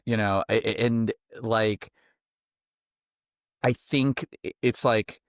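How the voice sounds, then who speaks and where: A man's voice: on the low side, fairly even intonation, slow pace, clean tone, no echo, clean studio sound. A young man from a band, a guest on a podcast, talking in a rambling, laid-back way full of fillers. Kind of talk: casual interview chat.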